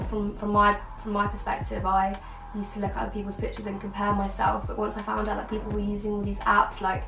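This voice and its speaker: A woman's voice: medium pitch, a bit bright, recorded from a distance. A twenty-one-year-old woman, a student, talking hesitantly in a documentary interview with many "like" fillers. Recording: echoey room, voice from off-mic.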